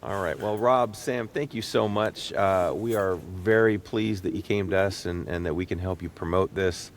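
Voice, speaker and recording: A man with a deep voice hosts a casual interview. He sounds encouraging and appreciative as he talks. A low rumbling noise sits behind his voice. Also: moderate pace. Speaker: podcast host.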